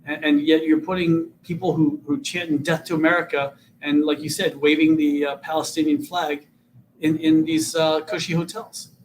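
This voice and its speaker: Man in his fifties, flat, high-set voice. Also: moderate pace, slight background noise, rough recording. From Vietnam. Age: 50s. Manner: repeats words when starting sentences. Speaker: guest, political candidate. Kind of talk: earnest interview talk.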